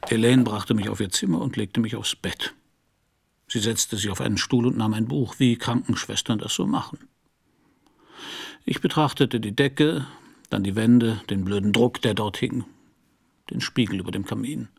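An actor playing a battered middle-aged private detective, wry, laconic and sardonic, in a noir radio play. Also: male voice, on the low side, moderate pace. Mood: matter-of-fact. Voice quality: husky, gravelly. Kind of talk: noir radio drama acting and first-person narration.

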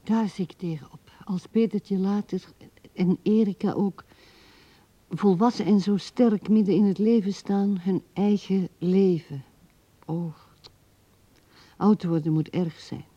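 An actress playing an old widow musing tenderly and haltingly at her husband's grave in a radio drama, her soft voice often dropping to a hush. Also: medium pitch, dry recording, lightly acted. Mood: musing, wistful. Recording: dull old radio recording.

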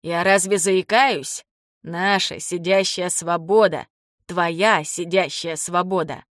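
A woman voicing an ailing older father in an audiobook, blunt, teasing and fond, speaking slowly and haltingly. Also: fairly low pitch, steady tone, no echo, studio quality. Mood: exasperated, teasing. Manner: slow, deliberate, halting for words. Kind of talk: audiobook character dialogue.

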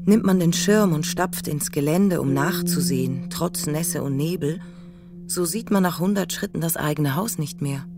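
A narrator reading scripted literary prose for a radio play in a measured, deliberate way. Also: mid-range voice, music in the background.

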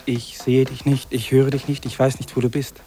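A man's voice: medium pitch, level tone, normal speed, mellow, lightly acted. An actor playing a lonely, despairing young man in an earnest, anguished 1950s German radio play.

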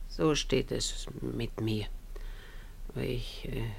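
An actress playing an imperious, blunt old Russian matriarch with a gambling streak in a German radio play, brusque and commanding. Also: female voice, extremely low pitch, fairly even intonation, very slow, creaky, a little mellow, a little hiss or hum, heavily acted. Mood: exhausted, defeated.

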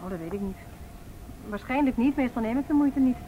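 Casting: young woman played by an actress, prickly and offhand, high, quick delivery, faintly noisy radio play. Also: lively intonation, narrow, tinny audio, lightly acted. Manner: nonchalant, then sharply contrary.

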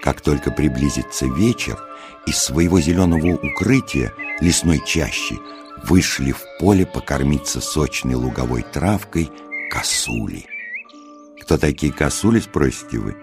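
A man narrating a scripted children's nature tale as a storyteller, unhurried and expressive, putting questions to the listener. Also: extremely low pitch. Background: music.

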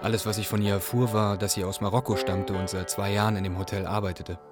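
A man's voice: lowish voice, level tone, quick pace, music in the background, close to an everyday voice. A man acting as a young Iraqi refugee, earnestly narrating his story in a radio play. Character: young male Iraqi refugee telling his hard odyssey.

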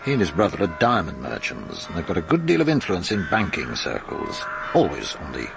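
A shrewd senior detective in a radio drama. He is low and gravelly, courteous and patient as he questions, with clear background noise on the recording. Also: huge pitch swings, exaggerated voice. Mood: thoughtful, shrewd. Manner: measured, gently probing, authoritative.

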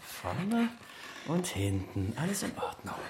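Menacing interrogator, very low voice, swooping taunts, radio drama, noisy backdrop. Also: female voice, sing-song, takes it slowly, exaggerated voice. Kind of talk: German radio play acting. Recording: noisy background with clicks.

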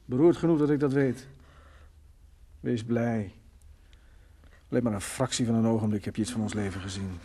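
A man's voice: medium pitch, fairly even intonation, moderate pace, pauses often, mellow, slightly rough, lightly acted. An actor playing a bitter, brooding drunk man in a Dutch radio play, sardonic, morose and terse in manner. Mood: angry. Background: faint music.

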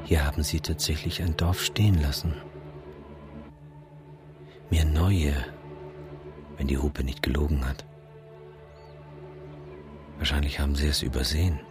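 Brooding male wanderer for radio-play monologue; extremely low, pensive murmur, music underneath. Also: fairly even intonation, a little slow, pauses often. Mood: brooding, observant. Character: brooding male wanderer narrating his observations of deserted villages. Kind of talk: reflective radio drama monologue.

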